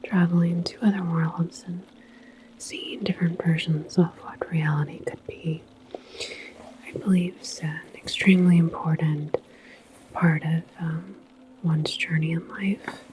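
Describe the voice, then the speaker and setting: A woman whispering softly in an ASMR sci-fi roleplay as a calm, musing, reassuring station attendant. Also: low-pitched, a little slow, noisy background. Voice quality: soft, breathy whisper. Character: calm, philosophical attendant at a futuristic portal station.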